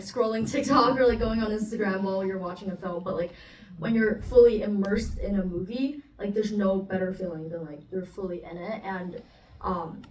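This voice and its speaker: Female, twenties, from Singapore, casual and chatty film student, medium pitch, faint noise behind. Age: 20s. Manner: chatty, peppered with "like". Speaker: film student and festival panelist. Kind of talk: casual panel answer. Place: panel event room with ambient noise. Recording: distant roomy event mic, background noise.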